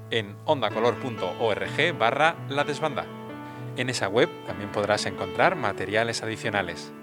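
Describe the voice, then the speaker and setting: A man calmly reading the credits of a radio drama as its announcer. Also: on the low side.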